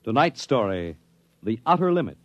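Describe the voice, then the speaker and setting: An American man announcing and narrating a 1950s radio drama in a solemn, measured, dramatic manner. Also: mid-range voice, sing-song. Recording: muffled old radio broadcast with music.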